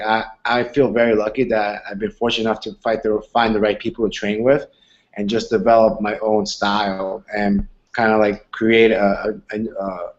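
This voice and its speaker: A man's voice: lowish voice, highs cut off. A man in his twenties from Argentina, a fighter answering interview questions in an earnest, rambling way. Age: 20s. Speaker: MMA fighter.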